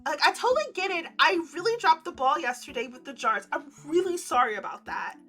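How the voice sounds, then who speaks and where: A woman's voice: high voice, sing-song, brisk, a bit bright, soft background music. A woman voicing an eager, apologetic young heroine in a romance game, chatty and earnest.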